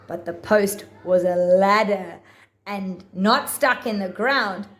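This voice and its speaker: A woman reading rhyming picture books aloud to young children with theatrical, deliberate phrasing. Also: medium pitch, fairly bright tone. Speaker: children's storybook reader.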